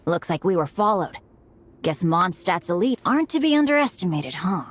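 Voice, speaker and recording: A woman's voice: on the low side, very animated, moderate pace. A cheerful teenage boy adventurer for an anime game. The delivery is casual and good-natured, taking bad luck in stride, with faint music underneath. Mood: easygoing. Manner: easygoing, upbeat, casual.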